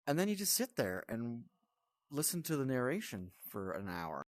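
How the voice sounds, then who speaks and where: A man's voice: medium pitch, sing-song, moderate pace, no room sound, studio quality. A man giving a casual, off-the-cuff game review as the channel's host, in a blunt, grumbling, exasperated manner.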